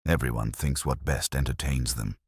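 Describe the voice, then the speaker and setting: A man voicing a calm, shrewd police inspector in a mild, deliberate murmur for a mystery story. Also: a bass voice, slightly rough, dry recording, clean studio sound, pitched below the performer's own voice.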